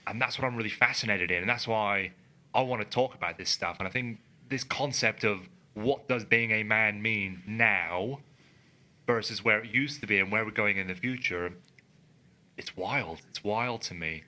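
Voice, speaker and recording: A man's voice: lowish voice, normal speed, pushed and bright. A man co-hosting a casual podcast, talking earnestly and emphatically as he thinks aloud. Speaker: podcast co-host. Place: studio in a building. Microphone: studio microphone.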